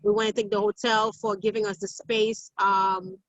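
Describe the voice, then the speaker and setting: A woman's voice: mid-range voice, level tone, normal speed, dry recording. A woman born in Haiti, interviewed as an activist, telling her story emphatically with many fillers and restarts over a video call. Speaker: guest activist. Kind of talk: interview recounting events. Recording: dull lo-fi dry sound.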